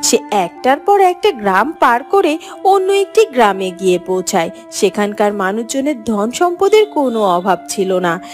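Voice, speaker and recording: Female narrator for children's folktale cartoon: high-pitched scripted storytelling over light music. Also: lively intonation, moderate pace.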